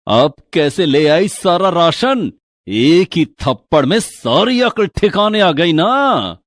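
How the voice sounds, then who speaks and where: A man's voice: a little high, sing-song, takes it slowly, no room sound, studio quality. A man voicing a fussy, sneering older father-in-law in a moral-story cartoon. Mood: gloating.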